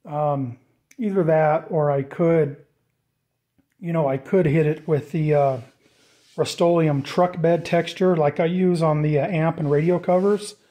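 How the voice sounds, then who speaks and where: A man giving a casual, off-the-cuff walkthrough of a DIY project, informal and thinking out loud as he goes. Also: fairly high pitch, fairly even intonation, unhurried. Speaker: DIY vlogger presenting a build.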